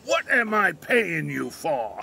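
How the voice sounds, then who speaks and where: An actor playing a sardonic, griping old man in an audio drama, with a gravelly voice and a salty, teasing manner. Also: sits high, very animated, takes it slowly, pushed and bright, soft background music, exaggerated voice. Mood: irritable, griping. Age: older. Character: cantankerous, sardonic old male bookseller in hospital.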